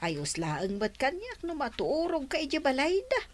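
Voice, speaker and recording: A woman's voice: high voice, huge pitch swings, normal speed. An actress playing a doting, agreeable old grandmother in a radio drama, with a Filipino accent.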